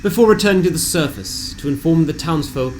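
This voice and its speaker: A man reading a scripted fantasy game recap as a storyteller, with deliberate, theatrical phrasing. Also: a little high, expressive, background noise. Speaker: narrator of a tabletop game session recap. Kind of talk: scripted dramatic storytelling narration. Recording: music bed behind the voice. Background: background music.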